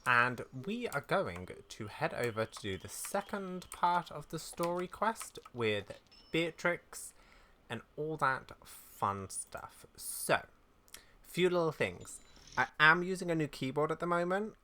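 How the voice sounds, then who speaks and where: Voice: medium pitch, animated, slow, pushed and bright, slight background noise. A gaming video creator of unclear sex chats casually and apologetically through a playthrough, in an informal way. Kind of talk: casual game playthrough commentary with read-aloud dialogue. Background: game music, keyboard clicks.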